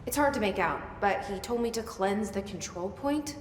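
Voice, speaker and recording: An actress playing a guarded young agency director in a video game, speaking in a terse, reserved, plain way. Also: female voice, mid-range voice, animated, moderate pace, lightly acted. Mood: hesitant. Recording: echoey hall with faint noise.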